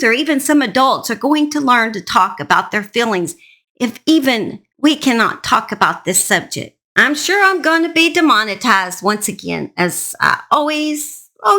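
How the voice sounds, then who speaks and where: A woman's voice: fairly high pitch, bright, forceful tone. A woman with a Southern US accent, earnestly narrating true crime stories as an online host. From Southern United States. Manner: earnest, serious.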